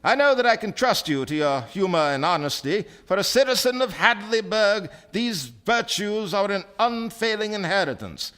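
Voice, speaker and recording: A man narrates a scripted story as dramatic radio theatre. His voice is fairly high, with lively, wide movement in pitch. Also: normal speed, few pauses, clear, resonant tone. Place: theatre stage, live performance.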